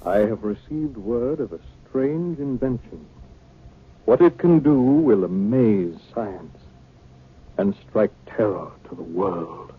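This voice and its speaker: An actor playing a portentous herald of terrifying inventions in a 1950s radio drama. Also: male voice, on the low side, expressive, slow, faint music behind. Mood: ominous. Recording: tinny, lo-fi 1950 radio recording.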